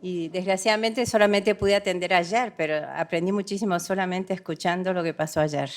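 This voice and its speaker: A woman's voice: on the low side, fairly even intonation. A woman from Argentina giving a semi-improvised conference talk, frank and emphatic, often correcting herself and mixing English words into her Spanish. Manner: halting, self-correcting, checks in with rhetorical tag questions. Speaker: conference speaker, development economist.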